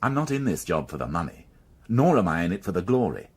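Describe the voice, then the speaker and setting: An actor playing a stubborn, middle-aged veteran police inspector in a radio drama, dry, grumbling and sardonic. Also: male voice, deep voice, very animated, fast, darkish tone, breathy edge, exaggerated voice. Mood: indignant, firm. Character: world-weary, stubborn veteran male police inspector, dryly sarcastic.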